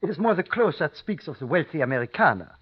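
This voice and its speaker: A fawning Austrian innkeeper speaks in a German accent, his husky voice swinging up and down with polite eagerness. He scatters German words through careful, formal English. The sound is a dull, lo-fi old radio recording. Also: fairly high pitch, sing-song, quick pace. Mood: courteous. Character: obliging Austrian innkeeper, middle-aged man. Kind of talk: old-time radio drama acting.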